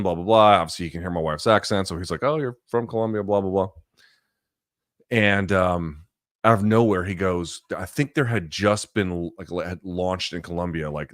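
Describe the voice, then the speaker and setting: A man's voice: sits low, no echo, studio quality. A middle-aged man hosting a live chat, reading viewer questions and answering in a blunt, profane, casual way. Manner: blunt, profane, animated asides.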